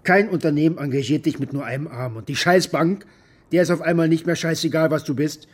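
An actor playing a bitter, maimed former military contractor who rambles coarsely and defensively in a naturalistic radio drama monologue. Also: male voice, on the high side, brisk, natural voice. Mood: bitter, brooding. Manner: coarse, defensive, agitated rambling with rhetorical questions.

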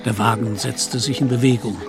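A man's voice: medium pitch, steady tone, normal speed, over music. A man reading scripted literary narration as the storyteller of a German radio play.

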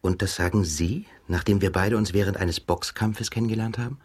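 An actor playing a reserved, courteous émigré journalist in intimate radio play dialogue, polite and a little hesitant. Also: male voice, lowish voice, a little fast, a little mellow, slightly rough. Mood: reserved, intrigued.

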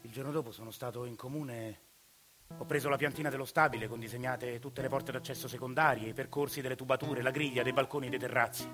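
A man's voice: mid-range voice, steady tone, fast, over music, close to an everyday voice. An actor playing a desperate, evicted family man in a radio monologue, speaking with a Roman accent in a sardonic way that breaks into outbursts. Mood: wistful. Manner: bitter, sardonic storytelling, rising to outbursts.

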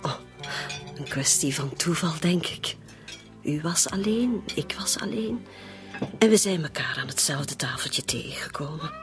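A woman's voice: deep voice, animated, a little slow, soft background music. An actress playing a cunning, composed female decoy in a radio play, talking coaxingly. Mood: friendly, casual. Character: friendly, cunning hired female decoy, reluctant accomplice. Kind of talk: radio drama acting.

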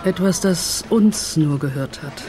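A woman's voice: on the low side, measured pace, mellow, soft background music, close to an everyday voice. An actress as a middle-aged woman in a radio crime drama. She sounds wistful and loyal, confiding things with some hesitation. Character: wistful, loyal woman missing her old friend.

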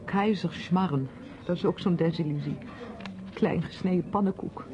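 A woman's voice: lowish voice, normal speed, a crowd in the background, lightly acted. A woman playing a reserved, dutiful young niece in a radio drama, speaking tersely, politely and with some hesitation. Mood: subdued. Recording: dull old radio recording.

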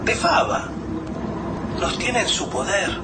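A man's voice: sits high, very animated, slow, full character voice. An actor playing an anxious, suspicious man who survived a catastrophe, in a radio drama. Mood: uneasy, suspicious. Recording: very noisy ambient sound effects.

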